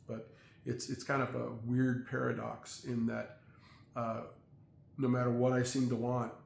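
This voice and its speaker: A middle-aged man talking in a casual, rambling monologue, halting with many fillers and restarts. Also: medium pitch, vocal fry, a little hiss or hum. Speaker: vlogger. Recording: echoey room, faint ambience.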